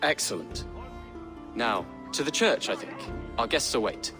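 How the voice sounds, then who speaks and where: Earnest young medieval noble over music. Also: male voice, medium pitch, very animated. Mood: hopeful. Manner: polite, measured, sincere. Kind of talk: video game character acting.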